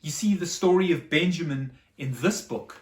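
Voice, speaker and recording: Female children's storyteller: fairly low-pitched, expressive and dramatic, heard slightly distant in a reverberant room. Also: normal speed. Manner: dramatic, simple explanations for children. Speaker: storyteller for a children's church show.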